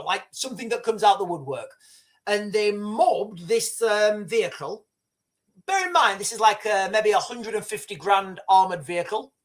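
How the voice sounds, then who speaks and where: A man's voice: sits high, fairly bright tone. Rambling man hosting a livestream. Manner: rambling, frequent restarts and swearing. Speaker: livestream host. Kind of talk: casual live commentary and storytelling.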